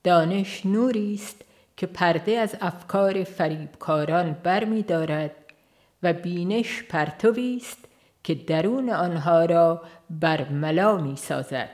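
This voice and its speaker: A woman reads a religious book as a formal audiobook narrator, in a solemn, measured way. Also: lowish voice, a little mellow. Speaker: audiobook reader.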